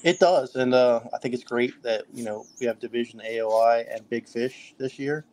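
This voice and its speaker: A man's voice: medium pitch, moderate pace, a little mellow, rough recording. A middle-aged man from the Mid-US, chatting casually as a guest on a podcast, with loose talk full of fillers and restarts. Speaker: kayak fishing trail director.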